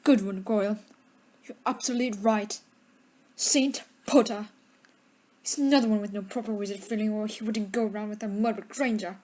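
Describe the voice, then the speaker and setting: A woman's voice: medium pitch, steady tone, moderate pace, dark tone, natural voice. A woman playing a spiteful, arrogant schoolboy wizard bully with a sneer in a fan audio drama. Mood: mocking.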